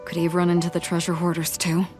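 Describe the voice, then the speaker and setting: A woman's voice: fairly low pitch, monotone, fast talker, music in the background, natural voice. An actress voicing a bold, confident female sea captain in a video game, blunt and commanding. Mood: matter-of-fact.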